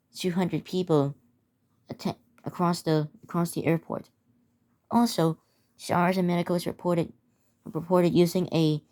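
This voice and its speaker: A woman reading a scripted emergency announcement in a halting way, stumbling and repeating phrases. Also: lowish voice, mellow. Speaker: narrator of a hobby emergency alert scenario.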